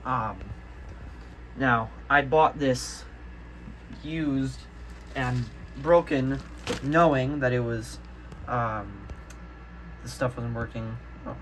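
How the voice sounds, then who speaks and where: A man's voice: mid-range voice, drawn-out words, long pauses. A young adult man gives a casual, unscripted show-and-tell in a rambling, easily sidetracked way. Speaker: hobbyist video maker. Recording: noticeable background noise, amateur home video.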